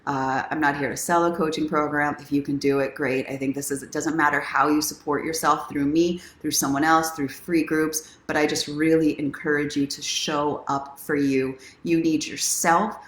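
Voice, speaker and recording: A woman's voice: deep voice, level tone, normal speed, few pauses. A woman hosting a casual livestream, encouraging and speaking straight to her viewers like a self-help coach. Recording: roomy, echoing sound.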